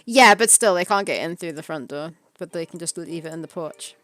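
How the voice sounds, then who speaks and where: A woman's voice: on the low side, animated, a little fast, a bit bright, no room sound, faint music behind. A woman streaming as a game developer, chatting casually and reading out viewer messages, with frequent laughter. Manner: chatty, laughs often, reads chat aloud. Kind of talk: casual chat on a live stream.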